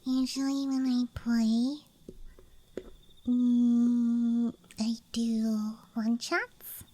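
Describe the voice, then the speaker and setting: A woman giving a hesitant, musing, self-deprecating ASMR ramble in a soft, breathy whisper. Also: fairly high pitch, flat delivery, drawn-out words, a little hiss or hum. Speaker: ASMR creator. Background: soft night ambience.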